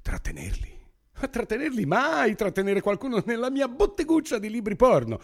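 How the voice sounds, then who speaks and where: A man's voice: very high-pitched, wildly swooping pitch, moderate pace, husky, full character voice. A man acting in a radio play as a grotesque, obsessive, conspiratorial bookshop owner, emphatic and grandiose, piling up repetitive lists. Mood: indignant, boastful. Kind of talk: theatrical radio drama acting.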